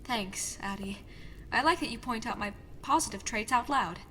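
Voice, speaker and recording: High-voiced, blunt young princess played by a woman. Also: moderate pace, sharp tone, slight background noise. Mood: wry, soft. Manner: casual, blunt modern speech. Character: outspoken, wry young runaway princess. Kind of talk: audio drama acting.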